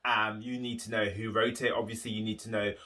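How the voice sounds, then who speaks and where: A man's voice: on the low side, little pitch movement, a little fast, sharp tone. A young man with a London accent, chatting casually to camera as a video host, enthusiastic and explaining things in long run-on stretches. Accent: London English. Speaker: YouTube host.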